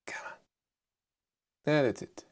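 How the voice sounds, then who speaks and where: A man with a thick German accent hosting a casual live chat, low-key and hesitant, with many fillers as he answers viewers. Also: takes it slowly. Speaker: livestream host.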